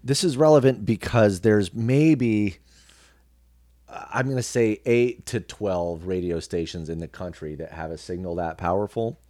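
A man speaking as an interview guest in a casual, reflective way, with measured and halting phrasing. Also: fairly low pitch, dark tone, dry recording, clean studio sound. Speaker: podcast guest, radio personality and actor.

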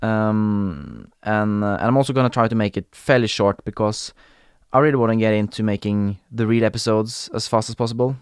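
A young man from Norway hosting a podcast in English with a Norwegian accent, talking casually with frequent pauses and fillers. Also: fairly low pitch, clean tone, no echo, studio quality. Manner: hesitant, many fillers and restarts, laughs often. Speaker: podcast host. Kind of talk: casual solo podcast talk.

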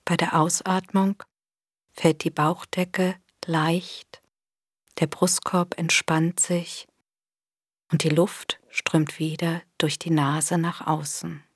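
A woman leads a guided body scan in a soft, breathy voice. Her delivery is very slow, gentle and soothing, with a slightly low pitch. The recording is close and dry. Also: little pitch movement, dry recording. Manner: gentle, soothing, unhurried instruction with long pauses. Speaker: meditation guide. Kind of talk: guided body scan meditation, scripted narration.

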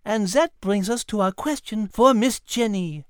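A woman's voice: medium pitch, unhurried, smooth, no echo, clean studio sound, close to an everyday voice. A woman voicing a prim, chatty female animal podcast host with a French accent, in scripted banter. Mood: bright, chatty.

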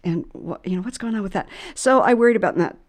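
A woman hosting a reflective music conversation, speaking thoughtfully and haltingly, often repeating words and phrases as she goes. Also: mid-range voice, expressive. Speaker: podcast host.

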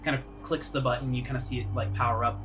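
A man improvising tabletop game narration as game master, casual and descriptive in a halting way. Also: medium pitch, fairly even intonation, background noise. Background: music.

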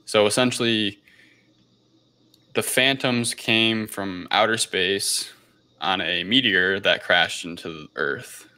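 A man's voice: fairly low pitch, slow pace, sharp tone. A man hosting a podcast, recapping a film in casual, loose, informal conversation. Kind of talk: casual film review chat.

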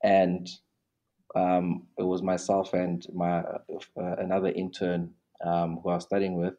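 South african guy, young, real low voice, kinda loose and rambly. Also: unhurried, muffled recording. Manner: relaxed, rambling with many restarts and fillers. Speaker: guest. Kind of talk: casual interview chat.

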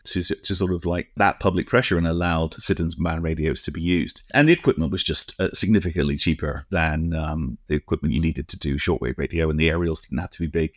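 A middle-aged or older British man co-hosting a casual podcast, explaining things in a halting, discursive way with frequent asides. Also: very deep voice, no echo, clean studio sound. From United Kingdom. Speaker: podcast co-host.

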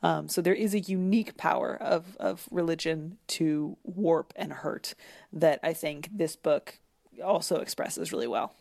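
A woman with a low-pitched voice talks as a guest in a conversational interview. She is reflective and hesitant, building long sentences and revising them as she goes. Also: steady tone, measured pace, vocal fry, darkish tone. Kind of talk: conversational interview, literary discussion.

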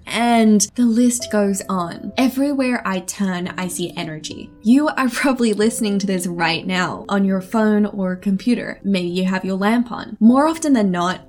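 A woman speaks in an earnest, reassuring tone as she narrates her podcast from home, in a voice of middling pitch. Soft music plays faintly behind her. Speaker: podcast host. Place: home in a city.

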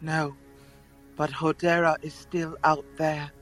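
Man acting a high-voiced, earnest, worried alien warrior. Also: level tone, slow pace, fairly bright tone, soft background music, rough recording. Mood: grave, determined. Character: worried young alien warrior, protective of his sister. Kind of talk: audio drama acting.

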